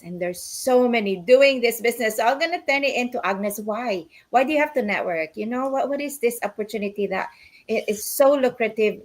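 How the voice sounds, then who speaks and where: A woman with a Filipino accent hosting a podcast, talking with enthusiasm and repeating words for emphasis. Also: mid-range voice. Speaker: podcast host. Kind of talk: casual chat.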